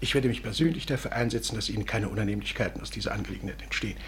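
An actor in a 1950s German radio drama playing a pompous, delusional elderly gentleman, formal and slightly scatterbrained. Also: on the low side, rapid-fire, natural voice. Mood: gracious, self-important. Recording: noisy 1950s radio recording.

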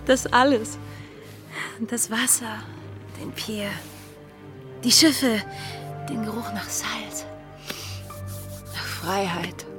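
Spirited young runaway-at-heart girl, breathy n intense, radio play with music behind. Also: a little high, very slow, long pauses. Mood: wistful, yearning. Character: spirited young plantation daughter longing for sea.

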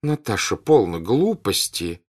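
A man's voice: lowish voice, sing-song, unhurried, a little mellow, husky, exaggerated voice. A man voicing a middle-aged countess in an audiobook, dry, mocking and impatient. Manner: dry, scoffing.

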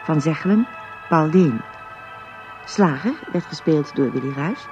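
A male radio announcer reads out drama credits formally in a fairly high voice. The recording is slightly dull, with clear music playing behind him. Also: lively intonation, a little slow. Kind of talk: formal scripted credits reading.

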